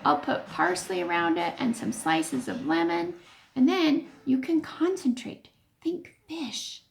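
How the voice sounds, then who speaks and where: A woman voicing a mischievous, excitable boy in a storybook, urging and chanting to egg on a friend. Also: high voice, measured pace, gravelly, full character voice. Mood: playful, urging.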